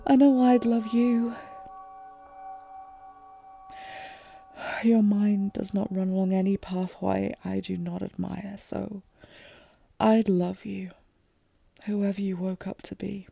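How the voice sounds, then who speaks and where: A woman playing a lovesick warrior queen, regal yet fragile, in an intimate fantasy audio drama with formal, emotive, halting delivery. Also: mid-range voice, fairly even intonation, gravelly, mellow, breathy edge, dry recording, faint music behind. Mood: tender, afraid. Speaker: audio roleplay performer.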